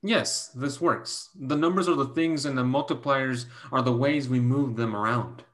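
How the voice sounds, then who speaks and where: A man reading the part of a gentle young Chinese mathematician and tutor in a staged play reading, a little haltingly. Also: mid-range voice, close to an everyday voice. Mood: earnest. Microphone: video call.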